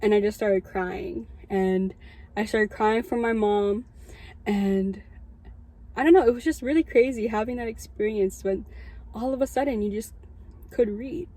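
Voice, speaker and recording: A woman's voice: mid-range voice, a little slow, warm tone. A woman in her early twenties with a Californian American accent, giving a personal testimony as a student chaplain in an informal, conversational storytelling way. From Southern California, United States. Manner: informal, conversational, frequent "like" asides. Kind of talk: casual personal storytelling to an audience.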